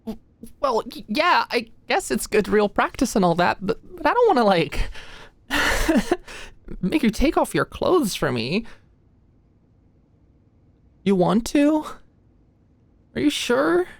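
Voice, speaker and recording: A woman playing an anxious, sweet and awkward young female medical student in a soft, intimate ASMR roleplay, with a hesitant manner. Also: fairly high pitch, sing-song, slow, vocal fry, pauses often, no room sound, full character voice. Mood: flustered, nervous. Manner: nervous, hesitant, stammering.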